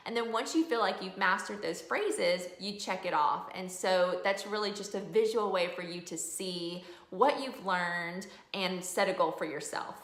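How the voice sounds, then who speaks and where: A woman's voice: medium pitch, measured pace, few pauses, a bit bright. A middle-aged woman giving a casual, explanatory video review as a homeschooling mom. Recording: roomy indoor echo.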